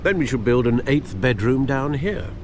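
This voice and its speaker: A man's voice: medium pitch, animated, normal speed, background noise, natural voice. An actor playing a wry, formal older butler with fatherly concern in a video game. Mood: wry, teasing.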